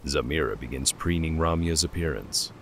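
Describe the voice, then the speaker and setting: A man's voice: a bass voice, normal speed, fairly bright tone, slight background noise. A man narrating a scripted dramatic story as a storyteller.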